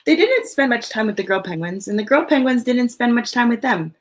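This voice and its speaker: A woman reading a picture book aloud to children in an expressive storytelling way, with casual, chatty asides. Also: a little high, a little fast, a little hiss or hum.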